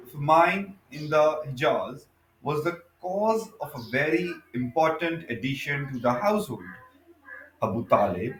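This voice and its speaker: A man reading a biography aloud as scripted narration, in a halting way, often stumbling and correcting himself. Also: on the high side. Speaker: audiobook reader. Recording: echoey, reverberant room.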